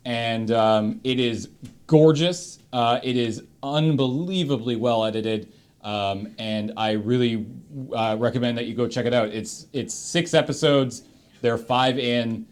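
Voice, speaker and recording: A man's voice: medium pitch, a little slow, clear, resonant tone. A man with a Canadian accent, casually and enthusiastically giving recommendations as a panelist on a livestream.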